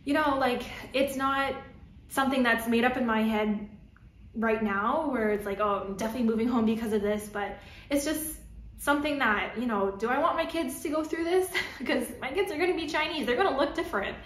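A woman's voice: high voice, a little fast, roomy sound. A young woman from Toronto with a Canadian accent, chatting casually to the camera from her living-room sofa with lots of "like" and "um". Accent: Canadian English. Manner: chatty, lots of "like" and "um", gestures while talking. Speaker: vlogger. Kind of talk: casual vlog talking to camera.

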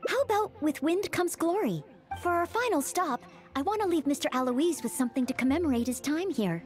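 Earnest, high-pitched young maid knight. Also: female voice, lively intonation, a little fast, soft background music. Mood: warm, ceremonious. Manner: formal, courteous, helpful. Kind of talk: anime game character dialogue.

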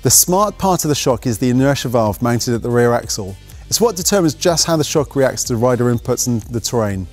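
A young man reads a scripted mountain bike review at a medium pitch. His delivery is brisk, technical and matter-of-fact. Faint music plays quietly underneath his voice. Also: animated.

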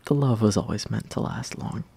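A young man performing an intimate roleplay monologue as a lovestruck, insecure friend, in a hesitant, breathy half-whisper that trails off. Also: lowish voice, moderate pace, warm tone, no echo. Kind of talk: soft intimate roleplay monologue, partly improvised.

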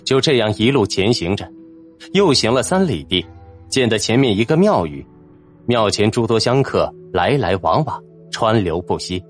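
A man's voice: on the low side, expressive, normal speed, faint music behind. A man narrating a fantasy novel in a dramatic, scripted storytelling style. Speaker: audiobook narrator.

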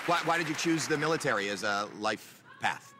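A man's voice: mid-range voice, animated, brisk, noisy background. Polite male talent judge. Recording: live show with crowd and music.

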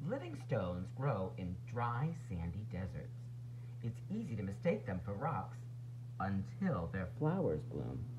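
A man's voice: low voice, wildly swooping pitch, unhurried, noisy background. A man reading a picture book aloud to young children like a teacher, with dramatic emphasis and playful asides.

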